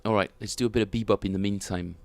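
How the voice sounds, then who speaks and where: A man with a French accent, chatting casually and self-mockingly with viewers as he streams his bass practice, often chuckling. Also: fairly even intonation, racing, a little mellow. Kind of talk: casual livestream chat.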